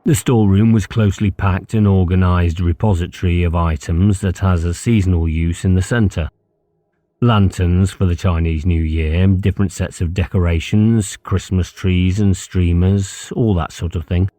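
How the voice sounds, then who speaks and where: A man's voice: low-pitched, fairly even intonation, moderate pace, mellow, dry recording. A man narrating a novel as an audiobook, reading scripted literary prose.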